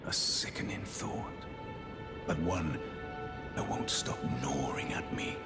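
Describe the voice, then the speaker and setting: A man playing a grave, honourable warrior in a fantasy game, speaking in a deliberate, courtly way. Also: a bass voice. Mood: brooding, uneasy. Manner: deliberate, courtly, drops into breathy near-whispers. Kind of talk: dramatic fantasy game acting. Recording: voice under loud background music.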